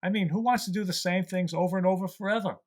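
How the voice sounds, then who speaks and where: A man playing an aging, weary, gentle mouse who speaks as a calm, fatherly mentor in an audio drama. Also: sits high, quick pace, lightly acted. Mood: calm, philosophical.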